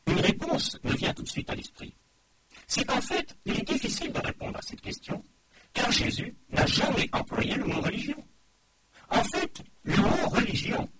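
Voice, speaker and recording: High, lively-voiced male preacher, noisy recording. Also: moderate pace. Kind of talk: sermon. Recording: loud background noise.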